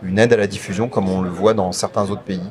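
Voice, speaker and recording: Low-voiced interviewer amid crowd chatter. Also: male voice, steady tone, fast. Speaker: podcast interviewer. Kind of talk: conversational interview questioning. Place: at a radio trade show. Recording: busy trade show crowd behind.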